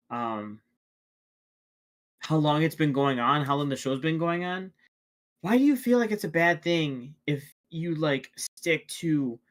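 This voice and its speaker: A man's voice: on the high side, a bit bright. A man chatting casually during a watchalong stream, rambling with many restarts and fillers. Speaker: watchalong co-host. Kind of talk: casual reaction chat.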